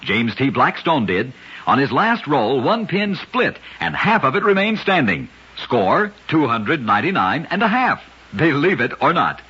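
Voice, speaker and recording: A man with a husky voice giving dramatic scripted narration for an old radio show. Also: on the high side, sing-song, a little slow, pushed and bright. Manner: dramatic storytelling delivery. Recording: dull lo-fi old radio recording.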